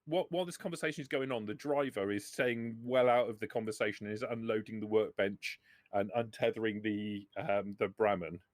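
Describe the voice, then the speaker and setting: A man acting as game master, improvising narration and answers for a tabletop roleplaying game in an animated way. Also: fairly low pitch, fairly quick, dry recording. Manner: animated, talks in character.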